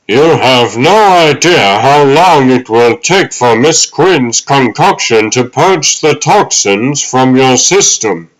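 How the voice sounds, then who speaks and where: Casting: male actor as wise old manservant, mid-pitched, unhurried, formal, fatherly. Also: animated, poor audio. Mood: calm counsel. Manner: formal, measured, kindly. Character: wise, gentle ghostly old butler, fatherly. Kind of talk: comic dub acting.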